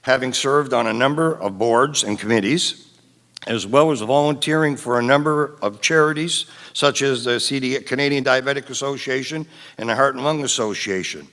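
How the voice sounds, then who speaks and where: Man doing a formal campaign intro, slow and deliberate, medium voice. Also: medium pitch, a bit bright. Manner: formal, deliberate, addresses the room. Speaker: city council candidate. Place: council chamber debate.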